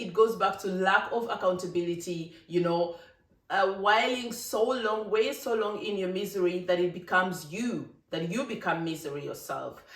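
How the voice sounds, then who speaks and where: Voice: mid-range voice, fairly bright tone. Vlogger, emphatic opinion talk, laughing asides, reverberant room, distant mic. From East Africa. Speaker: vlogger, channel host. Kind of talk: casual opinion monologue to camera.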